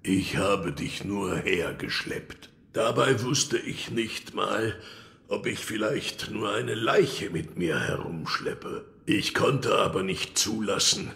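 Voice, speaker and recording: An actor playing a gruff, cryptic old wood-carver in an audio drama, brooding and gravelly. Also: male voice, fairly low pitch, expressive, slow pace, fairly bright tone, full character voice. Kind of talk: audio drama acting of a video game.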